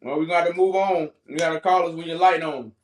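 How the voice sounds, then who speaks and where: High-voiced man hosting a live call-in. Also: fairly even intonation, fairly quick. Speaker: host of a live call-in debate stream. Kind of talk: live debate and discussion with callers.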